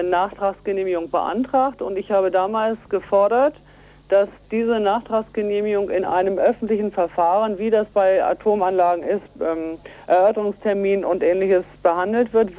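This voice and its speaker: Woman activist on a phone interview, mellow soft-edged tone, earnest, lays it out point by point. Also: medium pitch, moderate pace. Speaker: environmental activist and association chair being interviewed. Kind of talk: radio phone interview, explanatory. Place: on a telephone call to a radio show. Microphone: telephone. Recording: voice over a telephone line.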